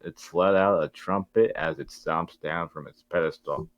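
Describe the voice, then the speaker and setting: A man with a low voice reads stage directions for a table read. He is informal and stumbles over the wording now and then, in a dry, close recording. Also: fairly even intonation, moderate pace, no room sound. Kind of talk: reading script stage directions aloud.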